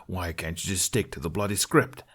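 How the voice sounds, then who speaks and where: A man's voice: deep voice, brisk, a bit bright, breathy edge. A man voicing an uptight, protective, grumbling male performer in a storybook, with a scolding manner. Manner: scolding, exasperated.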